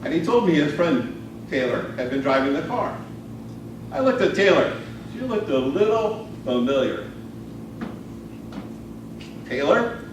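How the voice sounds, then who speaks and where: A man giving a theatrical storytelling speech as a contestant, with a dramatic, deliberate delivery full of pauses. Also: fairly high pitch, very animated. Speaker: speech contestant telling a tall tale. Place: roomy indoor speech contest venue. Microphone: distant camera microphone. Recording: distant, echoey, noisy live room recording. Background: noisy room ambience, audience laughter.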